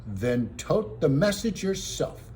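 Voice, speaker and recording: A man voicing a big, hairy outlaw in a storybook, suspicious and blustering, with a rough, exclamatory way of talking. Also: on the high side, very animated, slow, a little mellow, slight background noise, voice pushed up. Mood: suspicious, gruff.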